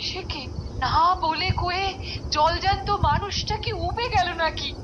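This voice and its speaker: A woman's voice: very high-pitched, a little slow, dull audio, background noise. A woman with a Bengali accent voicing a dramatic, demanding cartoon lady, plaintive and insistent. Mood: wailing distress. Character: dramatic, demanding woman, wailing queen or bossy wife.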